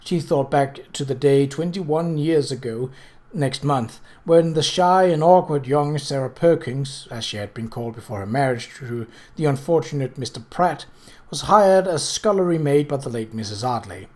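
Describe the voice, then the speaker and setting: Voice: mid-range voice, normal speed, a little mellow. A person reading a novel aloud as scripted narration, occasionally stumbling over words and rereading them. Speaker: audiobook reader.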